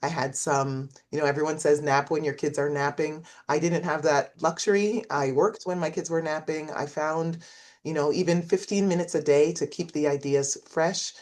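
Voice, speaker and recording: A woman's voice: low-pitched, fairly even intonation, moderate pace, a little mellow. A middle-aged woman, a professor, talking in an interview in a reflective storytelling way. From Canada. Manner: reflective, storytelling, acts out quoted voices. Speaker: guest, professor. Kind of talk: interview conversation.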